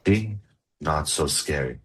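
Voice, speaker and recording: An actor playing a gentle, coaxing farm suitor, tender and a little forward, in a romcom table read. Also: male voice, a bass voice, animated, slow pace, background noise, full character voice. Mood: reassuring.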